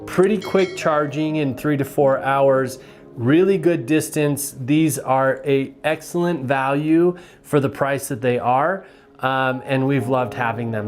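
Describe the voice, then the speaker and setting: A man giving a casual, hands-on product review in a plain-spoken, explanatory way. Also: mid-range voice.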